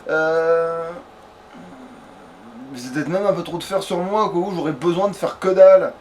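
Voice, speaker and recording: A man's voice: a little high, normal speed, a little mellow. A man giving casual let's play commentary, talking crudely and swearing heavily. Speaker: let's player. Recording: faint background noise.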